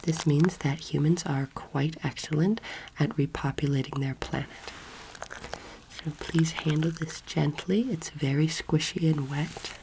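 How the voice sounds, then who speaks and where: A young woman giving a soft-spoken, half-whispered ASMR roleplay lecture in a gentle, measured, instructive manner, close to the microphone. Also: deep voice, fairly even intonation, noisy background. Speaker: ASMR performer role-playing an alien anatomy teacher. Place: indoor room, seated close to camera. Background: soft clicking, crinkling and squishing sounds.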